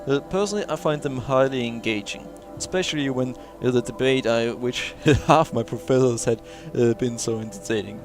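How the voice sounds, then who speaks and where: A man casually playing a video game and reading its text logs aloud. He reads haltingly, filling gaps with "uh" and the odd chuckle, in a voice of middling pitch. Game music plays faintly behind him. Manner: halting read-aloud with many "uh" fillers and chuckles. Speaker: gamer playing through a game.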